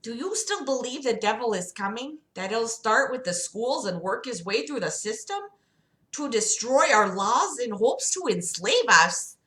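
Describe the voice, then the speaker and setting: A woman voicing a composed female doctor in a story, asking measured, probing questions. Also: fairly high pitch, sing-song, fairly bright tone, voice pushed up. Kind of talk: improvised voice in a story reading. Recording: roomy, echoing sound.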